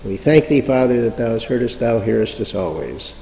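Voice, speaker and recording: Mellow man leading prayerful meditation. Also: medium pitch, expressive, slight background noise. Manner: slow, measured, reverent, long pauses. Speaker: meditation leader. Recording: reverberant hall sound.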